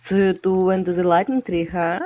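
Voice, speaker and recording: A woman's voice: lowish voice, little pitch movement, moderate pace, mellow, no echo, close to an everyday voice. A woman playing a haughty, needling warrior she-cat with a taunting, self-satisfied manner in an amateur audio drama. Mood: mocking curiosity.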